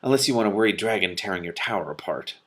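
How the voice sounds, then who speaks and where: Man reading as a stern, practical witch, low voice. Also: quick pace. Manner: calm, stern, matter-of-fact. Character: brisk, practical, no-nonsense female witch.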